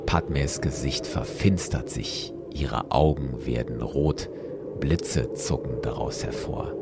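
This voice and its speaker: A man's voice: very deep voice, takes it slowly, gravelly. A man hosting a podcast who retells a story with vivid, dramatic narration. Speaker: podcast host. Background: music.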